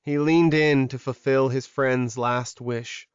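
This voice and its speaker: A man reads dramatic fiction as an audiobook narrator. His pitch is in the middle range. The recording is close and dry, with a slightly dull tone. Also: fairly even intonation, slow pace, smooth, no room sound.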